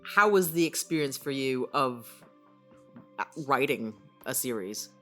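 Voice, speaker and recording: A woman's voice: a bass voice, expressive, unhurried, fairly bright tone, faint music behind. A woman chatting casually as she interviews, teasing playfully with a touch of mock formality. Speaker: voice actor and podcast co-host.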